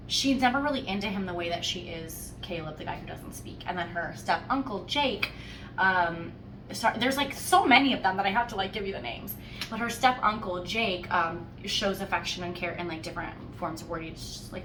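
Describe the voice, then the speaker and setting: A woman giving a casual, chatty book review, animated and playfully wry as she tells it. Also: fairly low pitch. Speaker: host of a book discussion podcast. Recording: roomy echo, distant mic, faint noise.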